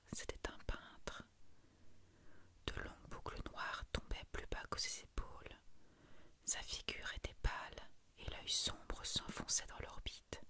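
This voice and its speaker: A narrator whispering a literary short story in a soft, measured, breathy ASMR bedtime reading.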